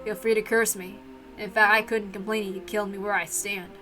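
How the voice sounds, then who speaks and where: A woman's voice: mid-range voice, level tone, brisk, sharp tone, faint music behind, voice pushed down, lightly acted. A woman voicing a guilt-ridden, solemn man in a visual novel read-aloud. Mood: remorseful.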